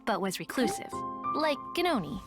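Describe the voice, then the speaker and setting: A woman voicing a shrewd, confident, money-minded detective in an anime video game, businesslike and deliberate. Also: a little high, big pitch swings, over loud music. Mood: storytelling. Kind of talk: anime game voice acting, storytelling.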